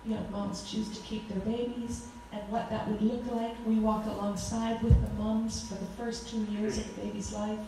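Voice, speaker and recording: A woman in her forties with a loud voice, giving a fervent talk as a guest speaker at a church, with frequent ums. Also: medium pitch, little pitch movement, normal speed, hardly any pauses, a little hiss or hum. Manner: earnest, fervent, with many ums. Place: church hall. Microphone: handheld microphone. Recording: echoey hall from far off.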